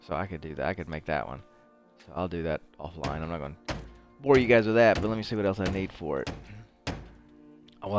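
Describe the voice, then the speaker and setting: A man with a fairly low voice talks his way through a video game in a casual, rambling way. He thinks aloud like a host chatting to viewers, with music playing clearly behind him. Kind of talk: casual gameplay commentary.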